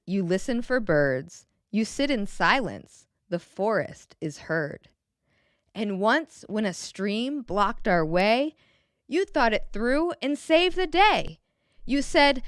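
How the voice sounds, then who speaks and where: A woman reading a children's picture book aloud in a gentle, expressive way, pausing to put questions to the young listener. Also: medium pitch, no echo, studio quality. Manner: gentle, expressive, clearly enunciated storybook delivery.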